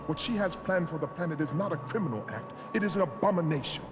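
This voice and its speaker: An actor playing a solemn, loyal mentor to a masked hero in an animated series, speaking gravely and formally in a reverberant space. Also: male voice, fairly high pitch, fast, noisy background, lightly acted. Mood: grave conviction. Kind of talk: animated action series voice acting. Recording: long reverberant hall, music behind.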